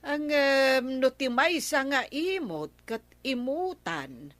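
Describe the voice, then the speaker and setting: Chatty Ilocano village wife, close dry sound. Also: female voice, sits high, animated, takes it slowly, a bit bright, no room sound, exaggerated voice. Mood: frank, gossipy. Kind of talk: Ilocano comedy radio drama acting.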